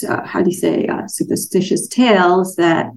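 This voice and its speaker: Gravelly-edged woman, slightly off-mic. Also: on the low side, big pitch swings, normal speed, a little mellow, distant mic. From Denmark. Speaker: interview guest, children's book author. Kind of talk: casual anecdotal storytelling in an interview.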